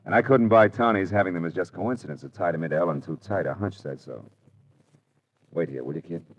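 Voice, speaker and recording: An American actor playing a hardboiled, world-weary private detective in 1940s radio drama style, clipped and colloquial. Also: male voice, low-pitched, fairly quick, a little hiss or hum, close to an everyday voice. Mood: wry, grim. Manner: clipped, colloquial tough-guy delivery. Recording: dull old radio recording, music behind.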